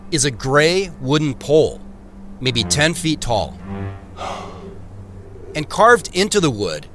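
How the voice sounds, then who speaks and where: A bright, crisp-edged man as first-person narrator of a mystery audio drama. His measured, descriptive storytelling moves with very lively pitch over faint music.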